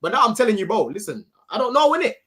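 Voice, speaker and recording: A man's voice: high voice, sing-song, rapid-fire, fairly bright tone, breathy edge. A young man arguing about his football team on a fan podcast, insistent and repetitive, with London slang. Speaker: Chelsea fan panelist. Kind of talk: heated football fan debate.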